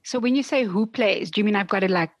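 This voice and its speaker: A woman's voice: mid-range voice, fast talker, no echo. A South African woman whose first language is Afrikaans, chatting as an interview guest in a playful, laughing way. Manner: lively and playful, laughs often. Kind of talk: casual interview chat.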